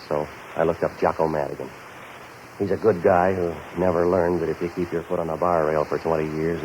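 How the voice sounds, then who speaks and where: An American actor playing a cynical, world-weary private eye in a 1940s radio noir, with clipped, deadpan wisecracks. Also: male voice, rumbling low. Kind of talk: 1940s radio noir acting and first-person narration. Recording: muffled, noisy 1940s radio recording.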